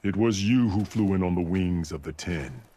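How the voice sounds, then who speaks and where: Very deep, solemn warrior chief. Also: male voice, dark tone. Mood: stern. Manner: grave, formal, measured. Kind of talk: dramatic video game acting.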